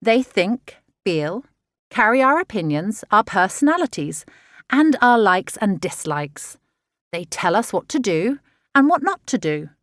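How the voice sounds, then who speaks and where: Woman, children's audiobook narrator, very lively intonation, unhurried teaching delivery, dry close sound. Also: mid-range voice, no echo. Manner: clear, measured, expressive teaching delivery for children. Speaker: author narrating own audiobook.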